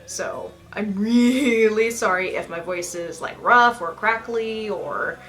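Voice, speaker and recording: A young woman with a slight lisp reviewing a goth album in a casual, bubbly and dramatic way, a little sniffly and rough from allergies. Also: mid-range voice, takes it slowly, faint music behind. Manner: bubbly and dramatic, squeals with excitement.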